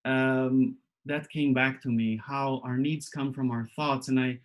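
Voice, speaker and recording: A man from Israel speaks in a reflective, personal way at a workshop, with a voice of middling pitch. He talks thoughtfully, searching for words, with frequent pauses and restarts. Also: flat delivery. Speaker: workshop presenter.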